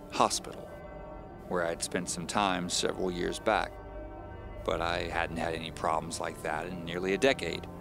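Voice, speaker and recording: A weary homeowner with a low voice, telling his haunted story in the first person. He is measured, confiding and a little wry, as in a mystery audio drama, with music underneath. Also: creaky, a bit bright. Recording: voice over music bed. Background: background music.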